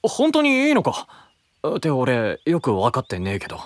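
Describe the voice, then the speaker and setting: A man's voice: medium pitch, huge pitch swings, moderate pace, no room sound. A man playing a brash, friendly younger-brother knight with casual boyish speech in an anime-style voice drama. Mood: surprised, friendly. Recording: music under the voice.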